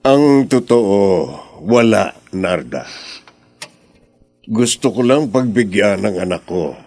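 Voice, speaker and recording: Filipino boss dad in a radio drama, gravelly, husky, firm but sorry. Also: male voice, fairly low pitch, lively intonation, slow pace, darkish tone, soft background music, full character voice. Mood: apologetic, firm. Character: well-off employer and indulgent father, apologetic but firm.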